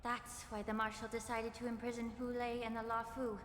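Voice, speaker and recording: A woman playing a poised, coolly resolute game character, speaking formally and deliberately in an echoing space. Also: fairly high pitch, completely flat delivery, a little hiss or hum, close to an everyday voice. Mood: probing, thoughtful. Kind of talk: scripted video game voice acting. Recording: echoey hall reverb over clear music.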